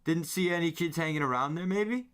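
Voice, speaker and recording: Man playing teen older brother for an audiobook: casual, cajoling, quietly prying, higher-pitched and punchy. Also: a little fast, clear, resonant tone, close to an everyday voice. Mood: probing. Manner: breezy, cajoling questioning. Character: casual, probing teenage older brother, slyly friendly.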